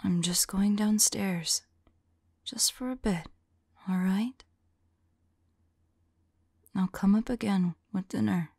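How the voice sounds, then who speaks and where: A woman playing a weary, devoted vampire lover in an ASMR audio roleplay, speaking softly and haltingly in a breathy, whispery voice. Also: mid-range voice, no room sound, studio quality. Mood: tender, reassuring. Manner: gentle, halting, hushed delivery. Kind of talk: ASMR audio roleplay, soft intimate acting.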